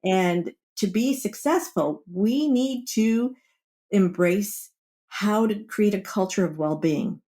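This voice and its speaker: A woman's voice: medium pitch, slow pace, mellow. A woman speaking as a wellbeing coach in an interview, explaining her advice conversationally and voicing little example quotes. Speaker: wellbeing coach, podcast guest.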